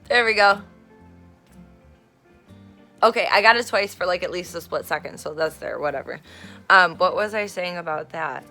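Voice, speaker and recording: A woman vlogging at home on her phone, her voice hoarse and rough, chatting in a meandering way with lots of fillers and casual swearing. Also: on the low side, normal speed, long pauses, pushed and bright, faint music behind. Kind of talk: casual rambling vlog chat. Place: at home, in a room being turned into an office. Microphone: phone camera recording herself.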